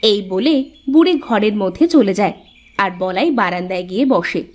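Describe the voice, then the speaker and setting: A woman's voice: medium pitch, animated, moderate pace, darkish tone. A woman reading scripted narration for a cartoon story.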